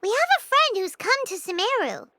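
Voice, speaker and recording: A woman voicing a small, chirpy, frank childlike fairy companion in an anime game, with a squeaky, expressive delivery. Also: fairly quick, slight background noise, heavily acted. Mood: troubled. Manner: speaks of herself in third person, squeaky and expressive.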